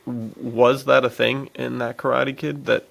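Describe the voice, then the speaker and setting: A man with an average-pitched voice and a clear gravelly fry. He speaks as a podcast co-host, in relaxed, informal conversation about films. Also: a little slow, low-quality recording. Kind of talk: casual movie chat.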